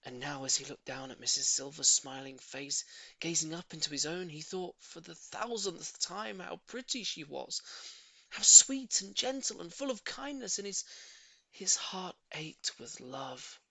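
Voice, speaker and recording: A man's voice: a little high, animated, measured pace, gravelly, pushed and bright, no room sound, poor audio. A man narrating a children's story expressively, with dramatic emphasis on key words. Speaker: audiobook reader.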